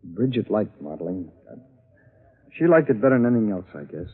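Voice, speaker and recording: A jittery photographer with a past stammers and defends himself. His voice sits a bit low. It all comes through a thin, phone-like old radio broadcast. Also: very animated, a little fast, husky. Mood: nervous explaining. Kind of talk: 1950s radio drama acting.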